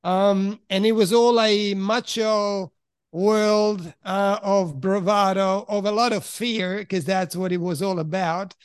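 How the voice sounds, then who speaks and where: A middle-aged man from Italy who grew up in Switzerland, with a high voice, recounting his past in a frank, reflective way. He pauses to search for the right words as he talks. The sound is dry and close, with no room echo. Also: steady tone, clear, resonant tone. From Italy, raised in Switzerland. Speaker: podcast guest. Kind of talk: candid personal storytelling in conversation.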